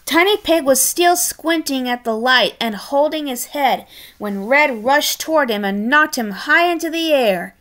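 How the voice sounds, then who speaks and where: A woman reading a children's picture book aloud expressively and deliberately, with chatty asides of her own. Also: sits high. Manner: expressive, deliberate, adds playful commentary. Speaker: storybook reader.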